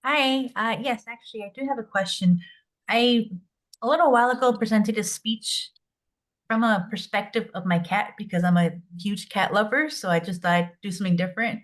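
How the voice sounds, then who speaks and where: A woman's voice: medium pitch, normal speed. A woman attendee chatting casually on a video call, phrasing her questions in a tentative, chatty way. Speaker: workshop attendee asking a question.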